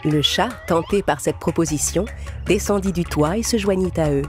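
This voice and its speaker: A woman's voice: on the low side, moderate pace. A woman reading scripted storybook narration for children as the narrator of a cartoon fairy tale. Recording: music clearly behind the voice.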